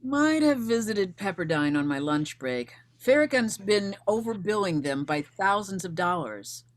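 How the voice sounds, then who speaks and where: A woman's voice: medium pitch, big pitch swings, a little slow. A woman acting as a determined, blunt female investigator in a screenplay table read. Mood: focused, urgent. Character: defiant, determined recently widowed female investigator, former accountant.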